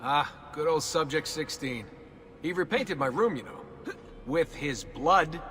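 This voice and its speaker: A man's voice: fairly high pitch, expressive, measured pace, a bit bright, recorded from a distance, a little hiss or hum. An actor playing a casual young man in a video game, informal and sardonic in the way he talks. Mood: wry. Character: wry, casual young man, reluctant assassin trainee. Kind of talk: naturalistic video game cutscene acting.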